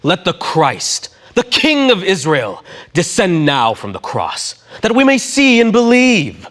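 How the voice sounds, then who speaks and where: Mocking male chief priest played by a man, scripture drama. Loud, stretched-out, high swooping jeers. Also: huge pitch swings, full character voice. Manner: drawn-out, loud taunting.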